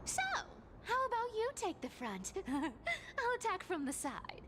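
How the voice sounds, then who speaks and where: High, swooping sly rogue woman. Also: very animated, a little slow, very noisy, heavily acted. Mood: scheming. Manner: teasing and haughty. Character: sly, playful, vain female rogue thief boss. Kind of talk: video game voice acting. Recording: loud game music over the voice.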